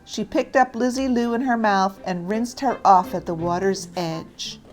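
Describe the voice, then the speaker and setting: A woman narrating a children's story aloud in an expressive, deliberate read-aloud style. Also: mid-range voice, soft background music, low-quality recording.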